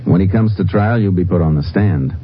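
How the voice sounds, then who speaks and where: Texas Ranger lead male actor, very low voice, quick delivery, calm authority, protective, vintage radio drama sound. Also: natural voice. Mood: firm, earnest. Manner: calm, earnest, plain-spoken. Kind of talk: 1950s radio acting. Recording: muffled, noisy 1950s radio recording.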